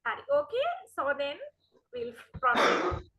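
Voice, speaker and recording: High slow Sri Lankan woman lecturer. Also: very animated, dull audio, noisy background. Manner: slow, explaining, with frequent fillers and checks. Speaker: university lecturer. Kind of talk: online class lecture.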